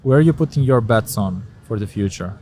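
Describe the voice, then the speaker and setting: A man hosting a podcast interview, relaxed and loose, with plenty of fillers and short agreements. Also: mid-range voice, quick pace, soft background music. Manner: relaxed, filler-heavy, with frequent short backchannels. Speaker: podcast host.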